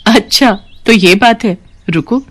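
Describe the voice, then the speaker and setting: A woman's voice: a little high, unhurried. A woman voicing a benevolent female fairy in a storybook tale, gracious and reassuring.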